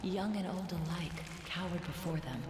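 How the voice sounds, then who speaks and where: An actress playing a tender, solemn mother telling an old legend in a video game, gentle and hushed, at times nearly whispering. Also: fairly low pitch, fairly even intonation, normal speed, lightly acted. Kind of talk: video game voice acting, storytelling. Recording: echoing reverb, noisy game ambience.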